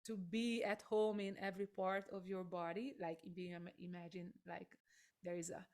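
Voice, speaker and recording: A woman's voice: fairly low pitch, flat delivery, moderate pace, darkish tone. A woman with a Hungarian accent, a native Hungarian speaker, hosting an interview over a video call, effusive and laughing often. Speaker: host, voice teacher.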